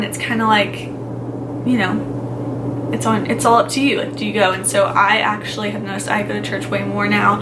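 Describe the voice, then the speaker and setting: A young woman from Texas, chatting casually in a Q&A vlog, rambling with lots of "like" and "um". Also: mid-range voice. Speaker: vlogger. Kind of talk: casual Q&A chat. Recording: loud background noise.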